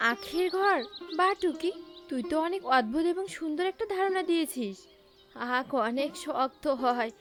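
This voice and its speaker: Woman playing a high-voiced brave mother bird. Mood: delighted. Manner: earnest, motherly. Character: brave, hopeful mother bird, devout and hardworking. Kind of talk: cartoon character acting. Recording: faint music behind the voice.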